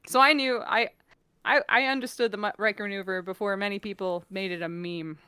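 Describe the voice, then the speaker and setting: Woman, low-pitched, talkative geeky stream guest, animated casual chat, dry sound. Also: a little fast, clean tone, no room sound. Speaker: guest on a fan talk stream. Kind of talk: casual chat about sci-fi shows.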